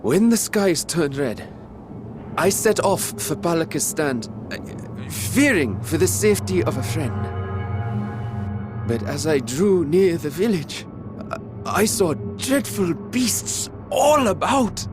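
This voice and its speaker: A humble fisherman, high-voiced and halting, stumbling over his words as he brings bad tidings. He talks slowly and keeps restarting. A game cutscene with music under it. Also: very animated. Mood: shaken. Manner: stammering, halting. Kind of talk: video game cutscene acting. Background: orchestral music.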